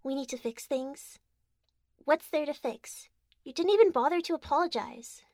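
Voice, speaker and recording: A woman's voice: sits high, moderate pace, darkish tone, no room sound, studio quality, natural voice. A young woman voicing a bossy, domineering girlfriend in an audio roleplay, giving commands and teasing. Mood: angry.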